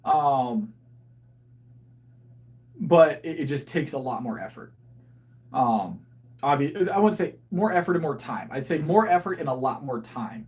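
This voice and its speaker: A man in his thirties chatting casually on a livestream, answering viewers and often restarting his sentences while he thinks aloud. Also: medium pitch. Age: 30s. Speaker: livestreaming powerlifter. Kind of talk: casual livestream chat reading viewer comments.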